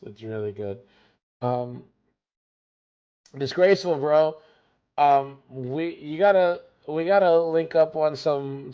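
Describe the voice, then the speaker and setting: Hoarse-voiced streamer guy, mellow tone. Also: medium pitch, lo-fi sound. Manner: rambling, many fillers and restarts. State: losing his voice. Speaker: gaming streamer. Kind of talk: casual stream chat.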